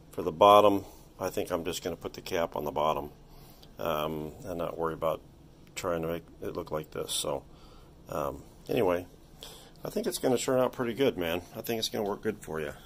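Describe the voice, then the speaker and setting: A man with a low-pitched voice walks through a hands-on restoration job. He speaks casually and in a folksy way, pausing often as he thinks aloud. He is indoors in a workshop, picked up by a handheld device. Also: slight background noise. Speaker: hobbyist electronics restorer presenting his repair.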